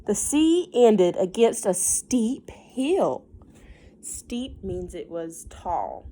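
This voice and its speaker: A woman's voice: mid-range voice, big pitch swings, very slow, dark tone. A woman reading a picture book aloud to young children like a teacher, breaking off for casual asides, simple explanations and questions.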